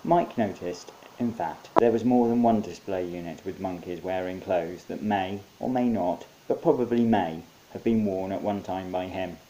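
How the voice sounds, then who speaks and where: Mellow, low-voiced male storyteller. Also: normal speed, few pauses, slight background noise, poor audio. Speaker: author reading own short story. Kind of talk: scripted story narration.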